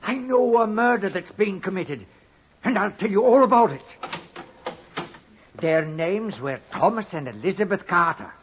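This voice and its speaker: Scheming jailbird in a 50s radio play, husky, very animated, wheedling, dull old recording. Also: male voice, sits high, a little slow, slight background noise. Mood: eager pleading. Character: wily condemned male thief John Head, cackling, wheedling, scheming. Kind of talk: 1950s radio drama acting. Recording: muffled vintage 1950s radio recording.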